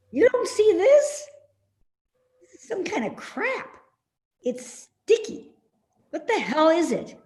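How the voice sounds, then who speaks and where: A woman's voice: fairly high pitch, a little mellow. A woman in a play reading, playing a suspicious, complaining elderly mother who nags indignantly. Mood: suspicious, disgusted. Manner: nagging, indignant, slow and insistent. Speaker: actor in a readers theater play. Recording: roomy, echoey remote reading.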